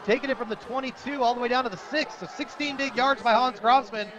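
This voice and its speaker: An American man doing live radio play-by-play of a high school football game, in clipped, energetic present-tense calls. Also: fast, lo-fi sound. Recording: loud crowd behind outdoor broadcast. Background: stadium crowd.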